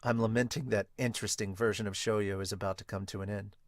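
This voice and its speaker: A man voicing a brooding young male volleyball player in a manga dub, subdued, measured and analytical. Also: on the low side, steady tone, dry recording, clean studio sound, pitched below the performer's own voice, close to an everyday voice.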